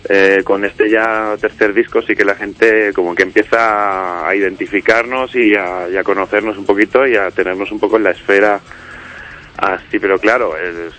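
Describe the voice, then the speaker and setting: Low-voiced man musician, easygoing radio guest who rambles and restarts, slightly muffled sound with faint music under it. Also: fairly bright tone. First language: Spanish. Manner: rambling, many fillers and restarts. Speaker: musician guest, singer and songwriter of a band. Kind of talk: casual radio interview.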